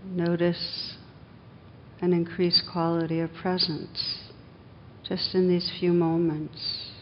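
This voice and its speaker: A woman meditation teacher leading a guided meditation in a gentle, unhurried manner with long pauses, on a dull recording. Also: little pitch movement. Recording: dull, muffled sound with faint rumbling noise.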